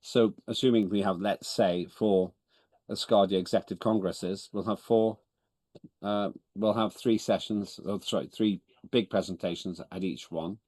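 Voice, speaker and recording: A lower-pitched man, Estonian by first language, chairs a video-call meeting in English. He is businesslike and wry, often correcting himself as he goes. The sound is dry and close. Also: steady tone, normal speed, darkish tone, dry recording. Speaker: chairman of parliament.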